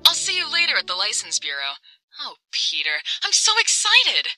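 Woman acting spirited high-voiced young bride. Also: huge pitch swings, moderate pace, sharp tone, no echo, faint music behind, exaggerated voice, low-quality recording. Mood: excited. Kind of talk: amateur comic dub acting.

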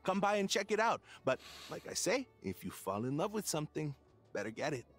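Laid-back, lilting surfer dude. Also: male voice, on the high side, very animated, fairly quick, soft background music. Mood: laid-back, enthusiastic. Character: laid-back young surfer-dude shopkeeper man, mellow, enthusiastic. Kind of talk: cozy video game dialogue.

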